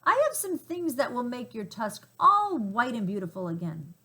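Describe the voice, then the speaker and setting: A woman reading a storybook aloud and voicing a polite, quick-witted young man who sounds eager and apologetic. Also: fairly high pitch, big pitch swings, sharp tone, voice pushed up, exaggerated voice.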